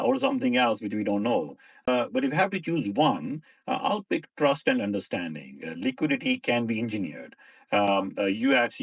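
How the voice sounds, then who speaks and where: A man speaking as a tech company executive on a panel in an online audio space. He talks hesitantly and fills his pauses with "uh". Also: fairly low pitch, dry recording. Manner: hesitant, many uh fillers. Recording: dry, distant online audio call.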